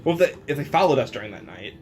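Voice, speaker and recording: A man chatting casually and joking as a player in a tabletop game, brash and quick to swear. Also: mid-range voice, big pitch swings, darkish tone, slight background noise. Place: in-person game session indoors. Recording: background music under the voice. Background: background music.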